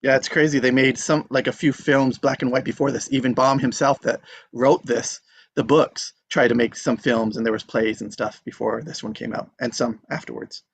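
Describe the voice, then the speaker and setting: A man's voice: medium pitch, steady tone, moderate pace, creaky, slight background noise. A man hosting a casual live session and introducing participants in an informal way. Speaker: host introducing table read cast.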